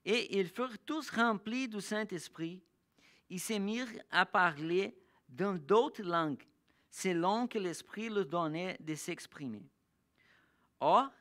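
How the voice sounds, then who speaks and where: A preacher delivering a sermon in a bright, forceful voice. The speech is halting, with frequent restarts and searching pauses. Passages of scripture are read out slowly. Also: mid-range voice. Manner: slows down to read scripture aloud. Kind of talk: sermon with Bible reading. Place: church.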